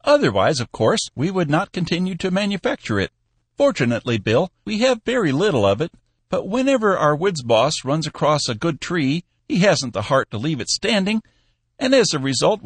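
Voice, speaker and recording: A man voicing a blustery, excitable old company boss for an audiobook, exclamatory and emphatic. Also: a little high, moderate pace, slightly rough, no room sound, voice pushed up, full character voice. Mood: wry. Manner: exclamatory, emphatic, folksy oaths. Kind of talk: audiobook character voice.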